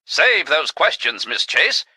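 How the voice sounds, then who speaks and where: Vintage radio serial hero: pompous, gallant adventurer, high voice with big swooping delivery, grandiose. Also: male voice, sing-song, slow pace, pushed and bright, slightly rough, no echo, exaggerated voice. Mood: jovial, pompous. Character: dashing, pompous, boastful gentleman adventurer.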